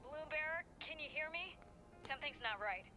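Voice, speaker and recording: A woman's voice: sits high, lively intonation, normal speed, bright, forceful tone, soft background music. A woman voicing an urgent, protective young female ally in a video game.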